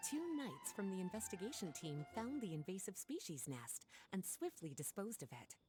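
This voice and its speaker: An actress playing a poised, gently indulgent and teasing woman in a video game, with a languid, honeyed delivery. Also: mid-range voice, very animated, moderate pace, music in the background, natural voice. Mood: smooth, indulgent. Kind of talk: video game character acting.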